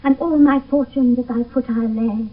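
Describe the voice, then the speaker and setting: A British actress playing an ardent, anxious young maiden in love, speaking verse in a theatrical classical radio drama style. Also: female voice, a little high, fairly even intonation, moderate pace, natural voice. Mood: earnest devotion. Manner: theatrical, fervent verse delivery. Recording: old lo-fi phone-like radio broadcast, distant.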